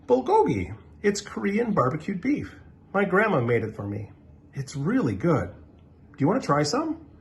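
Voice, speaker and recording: A man voicing a friendly, eager young schoolboy in a storybook, with a gentle lilting manner. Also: a little high, wildly swooping pitch, moderate pace, gravelly, pitched above the performer's own voice, exaggerated voice. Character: shy, friendly young schoolboy, new in class. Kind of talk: storybook character voice.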